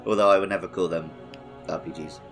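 Low-voiced man chatting over music. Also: steady tone, quick pace, gravelly, a bit bright. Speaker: podcast host. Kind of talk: casual chat about a video game. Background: background music.

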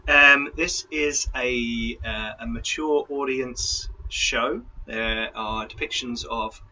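A man's voice: mid-range voice, takes it slowly, sharp tone, dull audio, slight background noise. A man hosting a tabletop role-playing livestream as game master, chatting informally through announcements and correcting himself as he goes.

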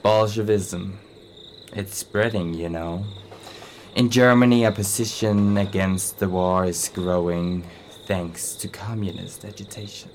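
An actor playing an anxious, halting ship owner in his sixties in a radio drama. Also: low voice, steady tone, slight background noise. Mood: worried. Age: 60s. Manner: hesitant, worried, halting. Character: older male ship owner, anxious, hesitant businessman.

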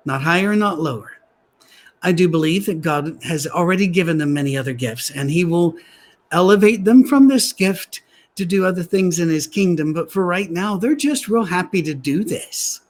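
Soft-voiced man preaching, slightly high. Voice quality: soft, gentle voice. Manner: conversational with dry humorous asides. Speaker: pastor. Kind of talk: sermon. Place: church gathering on a soundstage.

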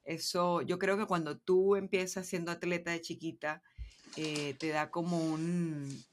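A woman's voice: on the low side, little pitch movement, measured pace, slight background noise. A young adult woman with a Venezuelan accent, a business guest chatting casually in a podcast interview and dropping English words into her Spanish. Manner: chatty, mixes English words, checks in with "¿sí me explico?". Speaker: guest entrepreneur.

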